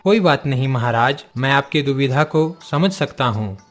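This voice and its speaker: A man's voice: mid-range voice, animated, moderate pace, faint music behind, natural voice. A man voicing a polite, confident unmarried king courting a princess in a cartoon story, with a courteous manner.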